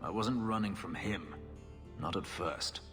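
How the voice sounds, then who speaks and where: A man acting a brooding, guarded former slave in a video game, speaking gravely in measured, halting confession. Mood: regretful, confessional. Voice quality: low, grave voice. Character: brooding male elf fugitive, a former slave, bitter and guarded. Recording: long reverb, music behind the voice. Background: music.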